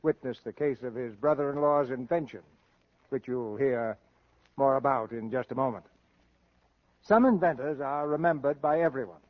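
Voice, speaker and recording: A man hosting and narrating an old radio theater show in a formal, scripted, measured showman's style. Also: mid-range voice, no room sound. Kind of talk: scripted 1930s-40s radio presentation. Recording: dull old radio broadcast recording.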